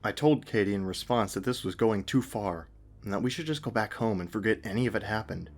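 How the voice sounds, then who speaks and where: A man's voice: lowish voice, a little fast. A man reading a scripted first-person horror story as narrator. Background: faint music.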